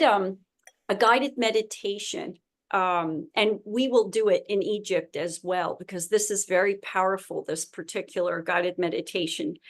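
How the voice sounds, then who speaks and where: Earnest woman sharing a spiritual story. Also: medium pitch. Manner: earnest, with frequent fillers and restarts. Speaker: spiritual tour host. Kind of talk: casual conversation.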